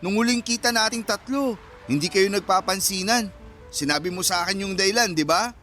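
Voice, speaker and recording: A dramatic young best friend in a radio romance. His voice is extremely high for a man. He is indignant and easily hurt, over faint music. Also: a little fast, sharp tone. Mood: shocked, sulky. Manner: indignant, exaggerated questioning.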